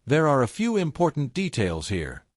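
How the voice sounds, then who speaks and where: A man reading a scripted technical tutorial step by step in a measured, methodical way. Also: medium pitch, wildly swooping pitch, dry recording, studio quality. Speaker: tutorial presenter.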